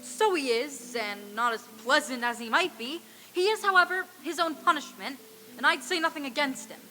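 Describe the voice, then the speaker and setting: Woman playing a bright, genial party host. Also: high voice, normal speed, soft background music. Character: genial, playful nephew hosting a Christmas party. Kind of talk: old time radio stage reading.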